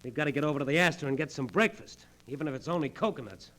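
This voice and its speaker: A man's voice: on the high side, rapid-fire, close to an everyday voice. An actor playing a brave, practical American airman in a wartime radio play, on a noisy old disc recording. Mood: wry, calm. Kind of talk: 1940s radio drama acting.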